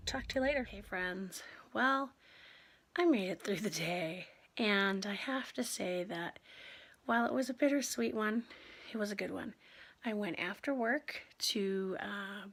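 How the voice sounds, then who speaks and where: A woman talking casually to viewers in a chatty, animated video diary, often interrupting herself. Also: mid-range voice, no room sound. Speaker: vlogger, school teacher.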